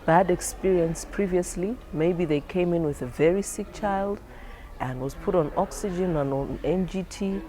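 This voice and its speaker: A woman's voice: low-pitched, a little mellow. A woman clinician speaking conversationally as she explains things for a training film.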